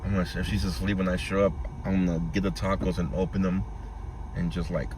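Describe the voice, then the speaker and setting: A very low-voiced man talks loosely and easily, wandering from one thing to the next as he answers his live chat by name. A steady hum of air conditioning and passing cars sits clearly under his voice. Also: fast. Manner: rambling, easygoing, chats with viewers by name. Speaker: live stream host. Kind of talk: casual chat with live chat viewers.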